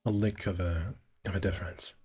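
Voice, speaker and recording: A man's voice: sits low, steady tone, a little fast, a little mellow, breathy edge, faint music behind. A man casually streaming a visual novel, reading the narration aloud and correcting himself with muttered asides.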